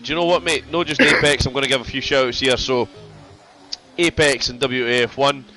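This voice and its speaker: A man's voice: medium pitch, fairly even intonation, fairly quick, bright, forceful tone, soft background music, rough recording. Congested man calling a race. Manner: chatty, repeats phrases, banters with co-commentator. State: congested with a cold. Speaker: sim racing commentator. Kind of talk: casual race commentary.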